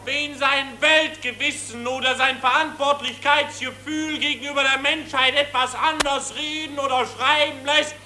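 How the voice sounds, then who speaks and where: Bombastic rally demagogue, old radio drama, super high ringing voice, echoey hall w faint crowd. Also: male voice, steady tone, background noise. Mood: fervent, scornful. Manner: slow, ringing, bombastic declamation. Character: bombastic German power-worshipping nationalist demagogue at a rally. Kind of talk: 1940s radio drama political speech. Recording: roomy 1940s radio recording, faint crowd.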